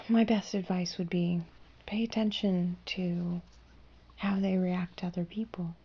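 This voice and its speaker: A young woman voicing a gentle female fairy in human form, whispering softly and reassuringly. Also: on the low side, flat delivery, takes it slowly, muffled recording. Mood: gentle, playful. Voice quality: breathy whisper. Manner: soft soothing whisper, reassuring and hushing. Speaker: ASMR roleplayer. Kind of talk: whispered ASMR roleplay.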